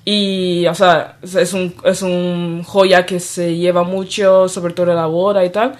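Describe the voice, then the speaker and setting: A woman's voice: lowish voice, level tone, takes it slowly. Casual woman with loose, restarting speech. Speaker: roundtable participant. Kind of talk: casual radio roundtable talk.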